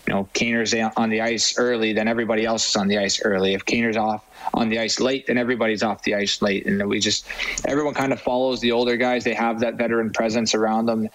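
A man's voice: lowish voice, flat delivery, fast, fairly bright tone, poor audio. A man in his twenties who plays pro hockey, answering casually as an interview guest. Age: 20s.

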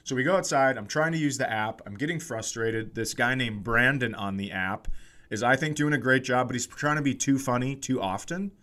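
A man's voice: medium pitch, moderate pace, pushed and bright. A middle-aged man chatting casually as a comedy podcast host, telling self-deprecating stories and acting out the exchanges he quotes.

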